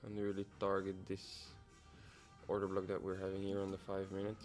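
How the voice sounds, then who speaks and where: Young man, lowish voice, relaxed livestream host musing to himself over faint music. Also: fairly quick. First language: Dutch. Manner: casual, loose, musing half to himself. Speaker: trading livestreamer. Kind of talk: live-stream commentary, thinking aloud.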